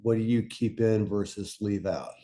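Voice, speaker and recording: A man's voice: lowish voice, moderate pace, warm tone. A man giving a casual, unscripted workshop talk as a panelist, explaining things step by step in a conversational way. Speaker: panelist presenting on fiction podcast pitching.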